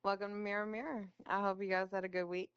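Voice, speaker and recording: A woman's voice: medium pitch, little pitch movement, quick pace, smooth, no echo, lo-fi sound. A middle-aged woman co-hosting a podcast in casual chat, grumbling that she's tired and swearing casually. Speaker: podcast co-host.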